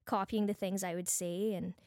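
A woman's voice: medium pitch, steady tone, normal speed, a little mellow, no echo, clean studio sound. Earnest woman with a Bajan accent. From Barbados. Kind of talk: devotional teaching talk from notes, with personal anecdotes.

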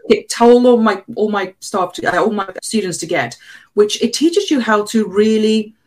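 A woman's voice: medium pitch, normal speed, a little mellow. A woman from South Africa whose first language is not English, a cleaning business coach giving candid, direct answers in an interview.

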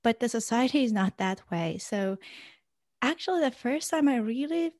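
A young woman from Iran, a guest in an interview, speaking natively and answering in a halting way with many fillers and restarts. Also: fairly high pitch, lively intonation, a little mellow, no room sound, clean studio sound. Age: young adult.

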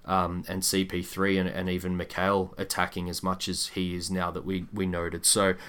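Low, bright-voiced man talking basketball. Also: monotone, normal speed, clean tone. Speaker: sports podcast host. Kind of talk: casual basketball discussion.

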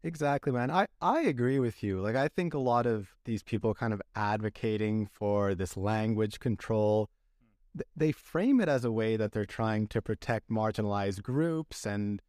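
Earnest, rambling young podcast host. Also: male voice, fairly low pitch, normal speed, a little mellow, dry recording, studio quality. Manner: earnest, rambling, many restarts and fillers. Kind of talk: casual podcast interview.

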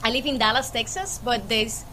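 A woman's voice: fairly high pitch, normal speed, bright, forceful tone, slight background noise. A woman from Puerto Rico with a Puerto Rican accent, chatting casually as a guest in an interview. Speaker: podcast guest, freight brokerage owner.

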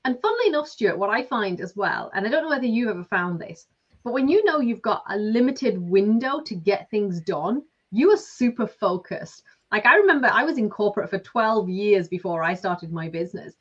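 A woman's voice: medium pitch, sing-song, moderate pace, husky. A woman in her forties with a Lancashire accent, a business coach talking chattily with lots of fillers. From Lancashire, England. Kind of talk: casual interview talk. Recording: slightly dull lo-fi sound.

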